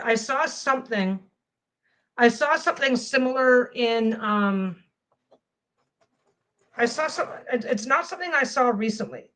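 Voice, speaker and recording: A woman's voice: sits high, fairly bright tone. A woman vlogging casually as she sews a quilt panel at home, rambling and often restarting her phrases. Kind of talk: casual chat while sewing.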